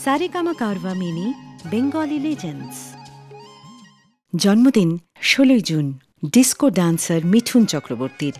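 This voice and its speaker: A woman's voice: medium pitch, very animated, normal speed, pauses often, darkish tone, soft background music. A woman hosting a radio show, reading scripted narration. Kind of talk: scripted radio narration about film music.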